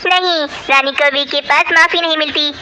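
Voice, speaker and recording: Cartoon crow boss, female performer: bossy, scolding, very bright high voice. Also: steady tone, normal speed, a little hiss or hum. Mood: furious. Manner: scolding, threatening. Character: ill-tempered, bossy female crow employer. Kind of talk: Hindi cartoon voice acting.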